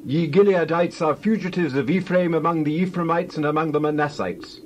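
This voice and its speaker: A man's voice: fairly high pitch, steady tone, brisk, a little hiss or hum, pitched above the performer's own voice, natural voice. A man voicing a hostile, curt tribesman interrogating others in a dramatized Bible reading. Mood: suspicious, taunting. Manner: curt, accusing.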